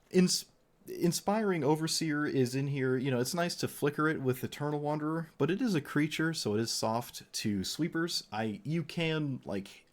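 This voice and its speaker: A man giving rambling, opinionated, casual game commentary as a streamer, his voice a little worn from talking. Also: on the high side. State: voice getting tired, losing it. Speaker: streamer reviewing card game decks.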